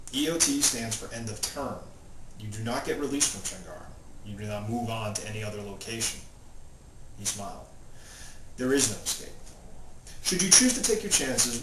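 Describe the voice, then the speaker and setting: A male author talking informally and reading his novel aloud in his own plain voice, without putting on character voices. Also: lowish voice. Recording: roomy, distant mic, loud background noise.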